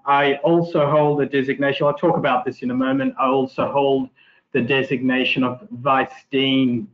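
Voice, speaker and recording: A middle-aged Australian man, a marketing professor giving a webinar lecture in a relaxed, chatty way with many fillers and restarts. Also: medium pitch. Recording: tinny phone-like online audio.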